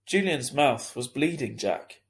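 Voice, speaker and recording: A man playing an earnest, lenient school head in a children's story, reasoning in a measured, hesitant way. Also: medium pitch, lively intonation, gravelly. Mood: stern concern.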